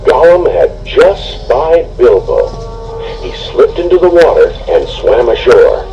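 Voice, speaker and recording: A man reading a storybook aloud with expressive, dramatic narration, on a noisy home recording. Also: medium pitch, takes it slowly, muffled recording, faint music behind. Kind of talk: scripted storybook read-along narration.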